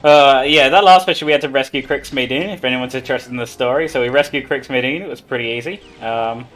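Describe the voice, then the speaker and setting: A man plays a game live and talks through it in a casual, chatty way. His pitch is average. There is faint music behind his voice. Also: fast, a bit bright. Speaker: speedrunner playing live. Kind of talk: casual chat while playing.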